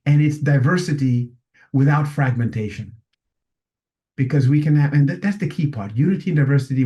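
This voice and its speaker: A man speaks in a musing, philosophical way, with a voice of ordinary middle pitch. He halts often, fills gaps with "um" and "uh", and restarts his phrases as he thinks aloud. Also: warm tone, dull audio. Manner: halting, many restarts and fillers. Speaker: session speaker. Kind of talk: reflective talk at an online session.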